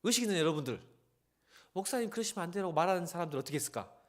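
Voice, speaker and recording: Animated male pastor preaching. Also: high-pitched, very fast. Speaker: senior pastor. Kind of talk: church sermon with Bible reading.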